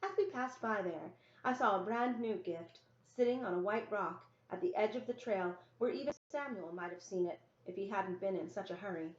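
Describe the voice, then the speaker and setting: A woman's voice: medium pitch, normal speed, hardly any pauses. A woman reading a children's novel aloud as scripted first-person narration. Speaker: story reader. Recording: roomy, echoey, dull lo-fi sound.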